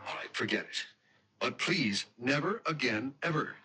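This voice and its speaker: An actor playing a protective, teasing young fiancé with a wry, emphatic delivery in a 1970s style radio drama. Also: male voice, medium pitch, lively intonation, normal speed, bright, forceful tone, husky, full character voice. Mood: alarmed, stern. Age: young adult.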